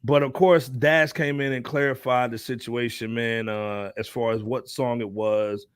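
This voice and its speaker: A man's voice: mid-range voice, steady tone, unhurried, clean tone, no echo, studio quality. An American man co-hosting a casual hip-hop podcast, slangy and opinionated, talking in bursts. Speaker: podcast co-host.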